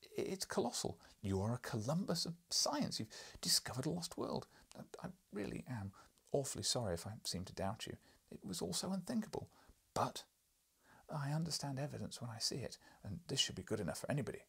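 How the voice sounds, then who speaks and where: A man voicing an eager, excitable, awed journalist in an audiobook, hesitant and deferential with a slight stammer. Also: mid-range voice, fairly quick, gravelly, breathy edge, voice pushed up, heavily acted. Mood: awed, apologetic. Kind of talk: audiobook character reading.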